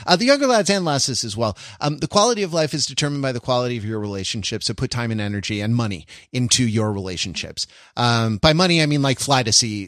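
A man's voice: medium pitch, a bit bright, dry recording, clean studio sound. A man co-hosting a podcast in casual chat, with a jokey, rambling way of talking. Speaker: podcast co-host. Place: remote recording on a video call.

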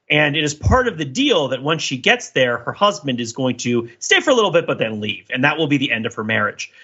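A man's voice: fairly high pitch, sharp tone, slightly rough, lo-fi sound. A man co-hosting a podcast, talking casually and analytically in a wordy, emphatic way and often checking in with "right?". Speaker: podcast co-host discussing a TV series. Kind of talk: casual analytical discussion among co-hosts.

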